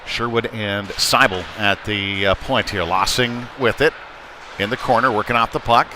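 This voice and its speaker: A man giving live ice hockey play-by-play in short clipped calls with hesitant pauses. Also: on the low side, fairly even intonation. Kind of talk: live sports commentary. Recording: clear background noise, live rink ambience. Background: ambient sports venue noise.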